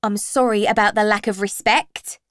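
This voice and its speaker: A woman voicing a stern, awkward male high school history teacher, clipped and formal, in an audiobook. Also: fairly even intonation, normal speed, fairly bright tone, no room sound, natural voice.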